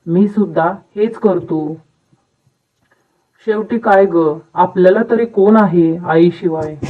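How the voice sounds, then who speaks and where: A man's voice: high voice, slow. A man playing a devoted, reasonable young husband who explains and advises in a dubbed moral story. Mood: advising. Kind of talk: animated moral story dubbing. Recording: muffled, narrow phone-like sound, distant.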